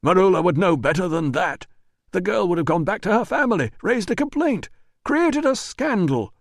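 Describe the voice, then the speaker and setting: A man voicing a panicky, blustering male employer in an audiobook, with rapid, indignant outbursts. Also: high-pitched, animated, no room sound, clean studio sound, voice pushed up. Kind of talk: audiobook dialogue.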